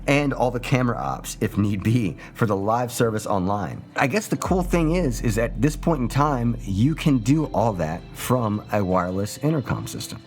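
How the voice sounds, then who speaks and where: A man's voice: mid-range voice, animated, normal speed, hardly any pauses, soft background music. A man hosting a video review with an emphatic presenter's delivery. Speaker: video host.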